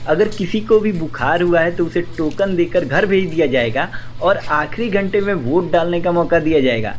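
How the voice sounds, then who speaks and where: A man's voice: a little high, animated, moderate pace, close to an everyday voice. An opinionated young man played by an actor in a radio play, blunt and fond of teasing. He talks to his office friends in a lecturing way. There is faint noise and ambience behind him. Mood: assured, explanatory. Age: young adult. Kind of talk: scripted radio drama acting.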